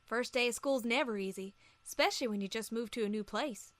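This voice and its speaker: A woman's voice: fairly high pitch, a little fast, dry recording, natural voice. A woman voicing a folksy, plain-spoken young female farm pony with a Southern US accent in an audio story. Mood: easygoing, warm. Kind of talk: acted audio story dialogue.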